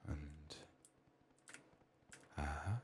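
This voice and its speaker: A soft-spoken man murmuring through an ASMR game playthrough, often dropping into whispers, his voice a little strained from being sick. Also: rumbling low, steady tone, extremely slow. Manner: murmured thinking aloud. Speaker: ASMR gameplay streamer. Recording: faint noise, keyboard typing and clicks. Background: keyboard typing, clicking, faint noise.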